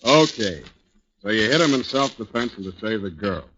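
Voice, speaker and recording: An actor playing a sarcastic, hard-nosed American police interrogator, curt and accusatory, in a 1940s radio drama. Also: male voice, fairly low pitch, animated, moderate pace, natural voice. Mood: dry suspicion. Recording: noisy, dull 1940s radio recording.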